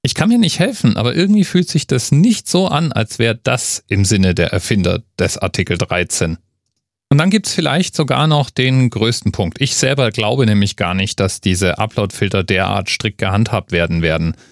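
A man's voice: lowish voice, expressive, moderate pace, vocal fry, no room sound, clean studio sound. A man giving conversational, emphatic opinion commentary straight to the listener, with rhetorical questions. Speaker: host of an online commentary.

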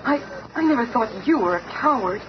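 An actress playing a dramatic young superheroine in a 1970s radio drama. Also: female voice, a little high, expressive, brisk, a loud crowd, natural voice. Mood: scornful. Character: anxious, melodramatic young superheroine, sister of a teammate. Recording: very noisy, muffled old radio play.

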